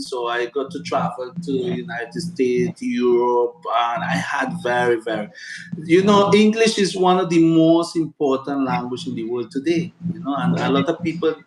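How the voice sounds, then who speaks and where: Echoey man, chatty and earnest. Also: medium pitch. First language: Spanish. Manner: earnest, repeats words and fillers often. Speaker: interview guest. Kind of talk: casual interview answers. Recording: echoey room with loud background noise.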